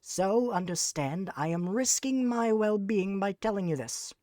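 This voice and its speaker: A speaker putting on a pompous, theatrical voice as a mock-serious conspiracy lecturer, with a drawn-out delivery that drifts toward a British accent. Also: medium pitch, lively intonation, a little mellow, dry recording, clean studio sound, pitched above the performer's own voice, close to an everyday voice. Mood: grandiose. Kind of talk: mock conspiracy presentation over slides.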